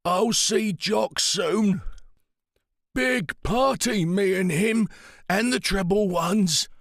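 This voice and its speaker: A man voicing a frail, confused very old man who speaks in broken, halting phrases. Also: sits high, a bit bright, no echo, clean studio sound, pitched above the performer's own voice, full character voice.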